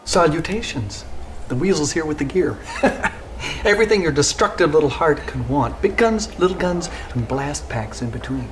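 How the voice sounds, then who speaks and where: A man's voice: a little high, lively intonation, normal speed, natural voice. A man playing a sly, theatrical weapons smuggler in a video game, with a sing-song salesman's manner. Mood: playful, wheedling. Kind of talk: hammy video game cutscene acting. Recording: faint background noise, old game audio.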